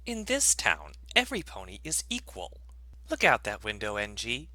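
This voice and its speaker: A reader putting on a bright, menacing cult-leader pony. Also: mid-range voice, huge pitch swings, normal speed, dry recording, voice pushed down. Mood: falsely cheerful menace. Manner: lecturing, insinuating, with rhetorical questions. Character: menacing, sweetly controlling cult-leader mare. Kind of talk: dramatic fan fiction reading.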